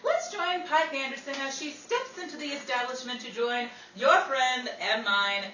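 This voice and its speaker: An actress as a grand, chatty old-time radio announcer introducing the programme. Her high voice is very animated, recorded in a roomy, noisy space. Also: normal speed, recorded from a distance. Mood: showy, welcoming. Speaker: announcer and narrator.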